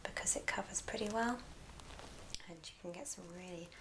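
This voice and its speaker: A woman whispering a gentle, step-by-step ASMR craft tutorial in a soft, breathy voice. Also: mid-range voice. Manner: unhurried, gentle step-by-step instruction. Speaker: ASMR host demonstrating a craft. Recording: clear background hiss and clicks.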